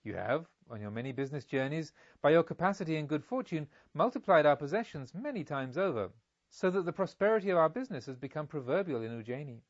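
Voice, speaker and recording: A man's voice: fairly high pitch, lively intonation, fast talker, no echo, muffled recording. A man voicing a genial, pompous elderly merchant father in an audiobook, with effusive, leisurely speech. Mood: pleased, sly. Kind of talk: audiobook character dialogue.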